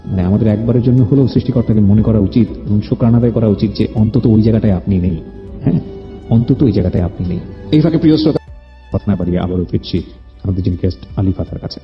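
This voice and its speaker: Male radio host, Bangladeshi accent, lively pitch swings, emphatic and repetitive, music underneath. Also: highs cut off. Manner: emphatic, repeats phrases, mixes in English words. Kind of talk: conversational interview and commentary to listeners.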